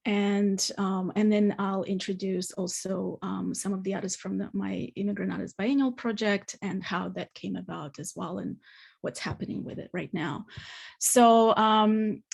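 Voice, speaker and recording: Woman in her thirties, gravelly voice, informal, lots of ums. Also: medium pitch, fairly even intonation, measured pace, a little mellow. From Ukraine, raised in Australia. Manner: informal, many fillers and restarts. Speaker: panelist artist. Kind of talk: online conference presentation.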